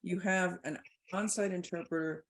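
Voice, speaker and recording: Woman, very low creaky voice, podcast host, articulate, emphatic, conversational. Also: steady tone, moderate pace. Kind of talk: conversational interview commentary.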